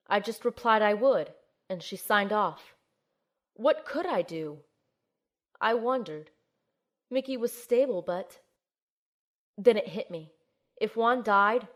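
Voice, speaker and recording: A woman's voice: medium pitch, lightly acted. A woman playing an earnest, anxious young field operative, acting and narrating in the first person in an audio drama. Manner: earnest, urgent.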